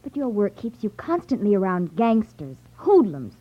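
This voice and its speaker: An actress playing a spirited, outspoken, sarcastic secretary to a detective in a 1950s radio drama. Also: female voice, medium pitch, big pitch swings, moderate pace. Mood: disapproving. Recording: dull lo-fi 1950s radio recording.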